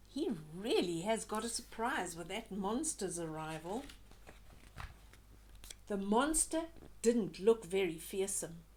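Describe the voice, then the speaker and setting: An older woman reading a children's picture book aloud expressively, pausing to chat to young listeners about the pictures. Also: on the high side, darkish tone.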